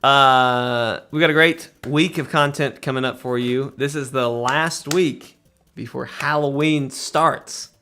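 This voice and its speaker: A man's voice: medium pitch, steady tone, slow pace, sharp tone, faint music behind. A man hosting a casual podcast with a jokey, playful way of talking. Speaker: podcast host.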